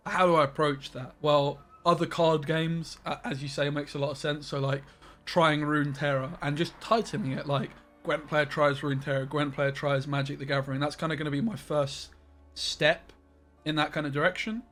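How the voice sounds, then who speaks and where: A man's voice: a little high, steady tone, moderate pace, a bit bright, soft background music. A young man chatting casually with viewers on a live game stream from his bedroom, rambling and greeting people by name.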